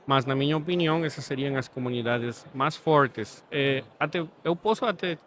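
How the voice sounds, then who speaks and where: A man from Guatemala with a Spanish accent, speaking Portuguese as a second language as a podcast guest, measured and thoughtful. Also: medium pitch, a bit bright, slight background noise. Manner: thoughtful, measured, ends points with "sabe?". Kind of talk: podcast interview. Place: studio setting.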